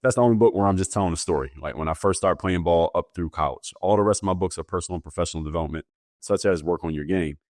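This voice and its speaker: A man with a very deep voice answers interview questions as a guest coach. He explains things in a steady, matter-of-fact way. The sound is dry and close. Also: racing, no echo.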